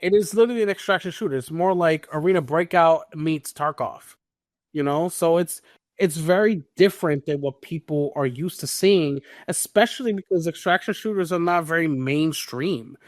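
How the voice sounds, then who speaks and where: A man's voice: fairly high pitch, moderate pace, dry recording, studio quality. A man co-hosting a casual gaming podcast, talking emphatically and repeating his points for stress. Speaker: podcast co-host.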